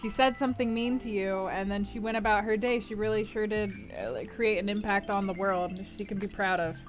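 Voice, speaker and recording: A woman's voice: mid-range voice, fairly even intonation, brisk, soft background music. A woman hosting a live-streamed book club, chatting casually with her viewers in a rambling way and laughing often. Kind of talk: casual chat with live chat before a stream reading.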